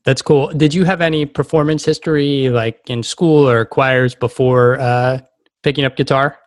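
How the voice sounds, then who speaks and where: An American man interviews a guest in a casual, easygoing way. His voice is mid-pitched, and he gives short affirmations before asking his questions. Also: fairly even intonation, moderate pace. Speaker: podcast host, interviewer.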